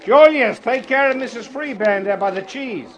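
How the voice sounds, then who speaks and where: An actor playing a chatty, fussy, eager-to-please grocery store owner in a 1950s radio drama, effusive and easily distracted. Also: male voice, extremely high pitch, normal speed. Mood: busy, effusive. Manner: effusive, distracted, fawning with customers. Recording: noisy old 1950s radio recording.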